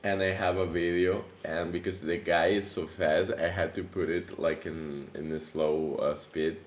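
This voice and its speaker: Man with a very deep allergy-worn voice. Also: measured pace. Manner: relaxed, chatty, frequent fillers and restarts. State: voice deepened by allergy. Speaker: coding tutorial host. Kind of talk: casual screen-recorded coding tutorial. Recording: echoey room with faint background noise.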